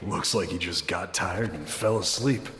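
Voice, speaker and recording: A man's voice: low voice, unhurried, long reverb tail, noisy background. An actor playing a cool, irreverent hitman in a video game, terse and cocky. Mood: cool, sardonic. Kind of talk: English video game cutscene acting. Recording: loud music over the voice.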